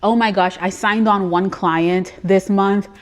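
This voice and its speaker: A woman's voice: medium pitch, fairly even intonation, unhurried. Woman business coach, Trinidadian accent touched by Brooklyn and Texas, mid-range voice, chatty and earnest while speaking live to viewers. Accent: Trinidadian mixed with Brooklyn and Texas. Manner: earnest, chatty, greets viewers, laughs often. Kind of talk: live-stream motivational talk.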